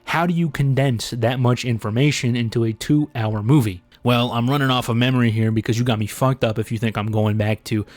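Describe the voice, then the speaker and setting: A man's voice: mid-range voice, fairly even intonation, a little fast, hardly any pauses, a little mellow, no room sound. A man giving casual, opinionated video commentary in a blunt, sarcastic, sweary manner.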